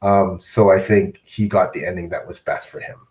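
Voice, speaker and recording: A man hosting a casual live-stream interview, often halting and searching for words. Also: low-pitched, level tone, darkish tone.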